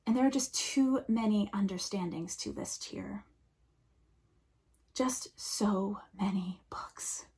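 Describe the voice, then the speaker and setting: A woman minister giving a reflective sermon, speaking slowly and softly, often dropping to a near whisper. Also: mid-range voice, husky.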